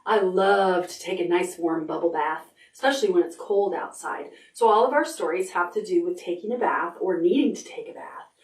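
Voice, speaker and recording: A woman leading a preschool storytime as a children's librarian, talking slowly and warmly encouraging little kids as she reads and counts with them. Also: on the low side, far from the mic. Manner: cheerful, slow and encouraging, talking to small children. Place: library room. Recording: roomy sound from away from the camera mic.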